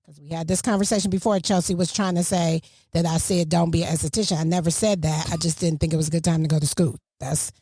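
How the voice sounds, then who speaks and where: A woman's voice: lowish voice, fairly even intonation, quick pace, hardly any pauses, mellow, husky, no room sound, studio quality. A middle-aged woman hosting a podcast, talking casually and motherly, giving advice to parents. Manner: chatty, motherly, preachy asides to parents. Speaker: podcast host. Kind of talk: casual chat.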